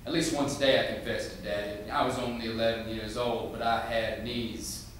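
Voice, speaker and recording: A haunted man, played by a male actor narrates with deliberate menace and a forceful, bright tone. It is a stage monologue in a hugely echoing room. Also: lowish voice, fairly even intonation, lightly acted. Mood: confiding, haunted. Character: haunted, unsettling Southern man recounting his childhood. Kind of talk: theatrical dramatic monologue. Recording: very echoey room, distant mic, faint noise.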